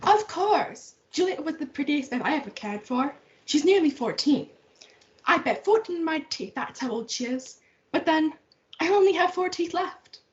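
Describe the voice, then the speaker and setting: A doting old nursemaid acted by a young-sounding female chatters in a high voice. It is a student play reading with muffled, lo-fi sound. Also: animated, moderate pace. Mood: fond, chatty. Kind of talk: student reader's theatre. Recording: dull, muffled lo-fi audio.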